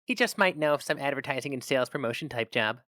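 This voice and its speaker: A man's voice: medium pitch, lively intonation, fast, pushed and bright, dry recording, studio quality, voice pushed up. A man voicing a sober, curt young female receptionist in a dramatic story reading. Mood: doubtful.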